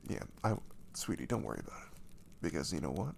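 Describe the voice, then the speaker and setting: A man playing a gentle, caring boyfriend in an intimate ASMR roleplay, speaking softly and breathily, often half-whispering, with casual, hesitant reassurance. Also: a bass voice, sing-song, fast, gravelly, a little hiss or hum, heavily acted. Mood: loving, soothing. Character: caring, gentle young boyfriend comforting his girlfriend.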